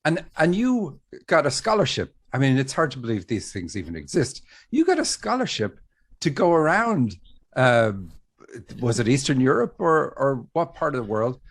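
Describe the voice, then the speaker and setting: A man's voice: mid-range voice, very animated, moderate pace. A man hosting a dream interpretation podcast, chatting casually and laughing easily. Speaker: podcast host.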